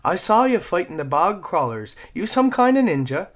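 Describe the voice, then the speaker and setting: A man's voice: high voice, very animated, voice pushed up. A man voicing a brash nine-year-old forest girl with a lilting Newfoundland accent, talking cheekily and nonstop. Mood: excited. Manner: chatty, cheeky, rapid-fire.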